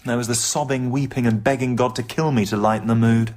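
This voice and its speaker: An English actor playing an earnest, wry comedian and recovering drinker in a radio comedy drama. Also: male voice, medium pitch, steady tone, a little fast, a little mellow, lightly acted. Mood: wry narration.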